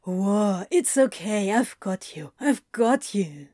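A woman plays a relaxed, playful male yoga teacher in an audio story. The tone is soft and mellow, close and dry, with gentle encouragement and teasing reassurance. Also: mid-range voice, slow, dry recording. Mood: reassuring. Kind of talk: audio fiction character acting.

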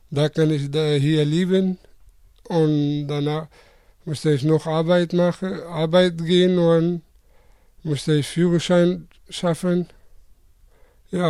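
Man in his twenties from Afghanistan, interviewee; slow, halting, many restarts; slightly high voice. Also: warm tone, no echo. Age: 20s.

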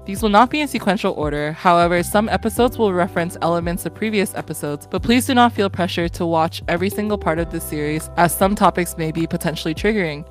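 A woman in her twenties hosting a casual podcast, talking in an earnest, friendly way with frequent fillers and laughs. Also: fairly low pitch. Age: 20s. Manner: warm, earnest, often laughing, many fillers. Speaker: podcast host.

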